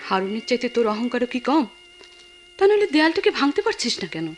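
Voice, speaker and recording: Quick, high-pitched candid female friend. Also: very animated, soft background music. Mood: reproachful, concerned. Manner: direct, questioning. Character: brisk, candid woman friend chiding her confidante. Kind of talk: Bengali radio drama acting.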